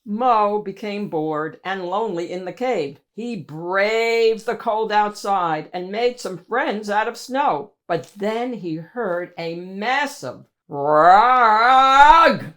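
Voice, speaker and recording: A woman narrates a children's picture book with dramatic emphasis. Her pace is slow and her pitch moves widely, giving an animated read-aloud delivery. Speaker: storybook reader and host.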